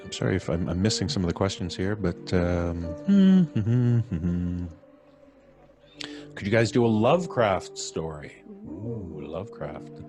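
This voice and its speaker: A man's voice: deep voice, sing-song, takes it slowly, music in the background. A man hosting a live stream in casual, informal and jokey chat. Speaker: host of a horror audio drama podcast live stream.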